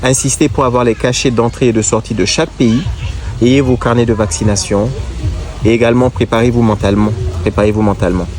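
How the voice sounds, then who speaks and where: A man with a cold and a congested voice, looking back on a motorcycle road trip and giving advice in a measured, halting way. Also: mid-range voice, fairly even intonation, a little mellow. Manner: measured, halting, gives advice directly to viewers. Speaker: motorcycle traveler. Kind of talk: casual reflective talk to camera.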